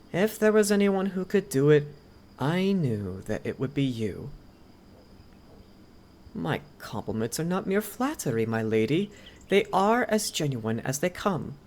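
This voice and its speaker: A woman's voice: sits low, a little mellow. A woman voicing a devoted male head butler in an ASMR roleplay, speaking politely and formally to a noble lady. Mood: earnest, loyal. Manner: polite, deferential, formal servant speech. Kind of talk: ASMR roleplay, scripted character acting.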